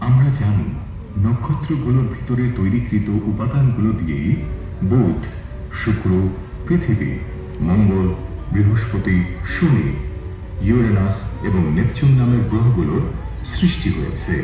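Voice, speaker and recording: A man giving scripted space documentary narration in a dramatic, measured storytelling manner. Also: lowish voice, expressive, noisy background. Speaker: show narrator. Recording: very echoey theatre playback from far off. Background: music.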